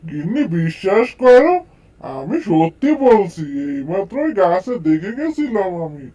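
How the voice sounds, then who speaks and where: A man's voice: sits high, big pitch swings, unhurried, warm tone. An actor playing a greedy, boastful male village landlord in a cartoon, full of exclamations. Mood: bewildered dismay. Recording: dull lo-fi sound.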